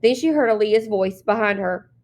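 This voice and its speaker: Female story reader for novel narration, mid-range voice, expressive delivery. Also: mid-range voice, moderate pace.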